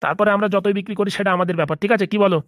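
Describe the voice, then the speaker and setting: A man's voice: high-pitched, fairly even intonation, rapid-fire, fairly bright tone, no room sound, studio quality. A man voicing a blunt, self-important small-time gangster boss in a comedy cartoon, bossy and businesslike with a Bengali accent. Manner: bossy, businesslike, repeatedly seeking agreement.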